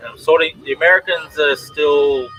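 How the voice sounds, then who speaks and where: A man's voice: on the high side, moderate pace, bright, forceful tone, faint music behind, poor audio. A man with an Australian accent hosting a drawing stream, chatting casually and reading out viewers' comments. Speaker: comic artist and stream host.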